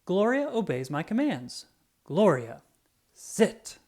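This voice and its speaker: An earnest policeman from a children's storybook, as acted by a narrator reading aloud. He announces safety rules emphatically and deliberately, with big swings in pitch. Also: big pitch swings, darkish tone. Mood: earnest, announcing. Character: earnest male police officer giving safety tips.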